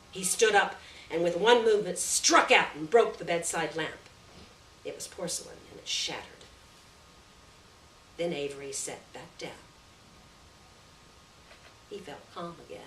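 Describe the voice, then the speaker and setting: A woman reading a literary short story aloud in a measured, deliberate way, with pauses between phrases. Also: on the low side, fairly bright tone. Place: roomy venue at a live reading. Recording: echoey room, distant mic, background noise.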